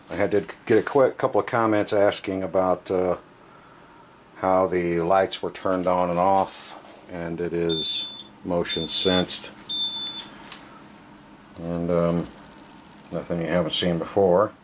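A man with a low voice narrates a casual tour of a small room at home. His talk is folksy, salty and self-deprecating, full of easygoing slang. The handheld camera recording carries some faint background noise. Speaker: video creator giving a room tour. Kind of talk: casual offhand vlog narration. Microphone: handheld camera, operated by the speaker.

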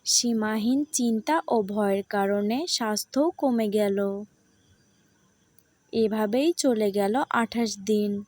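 A woman narrating a scripted storybook tale in a measured, deliberate manner. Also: mid-range voice, a little mellow, dry recording.

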